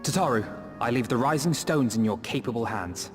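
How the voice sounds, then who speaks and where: A man playing an earnest, eloquent young diplomat in a fantasy video game, speaking formally and with measured delivery. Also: medium pitch, animated, soft background music, natural voice. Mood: composed, earnest. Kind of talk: scripted fantasy game dialogue.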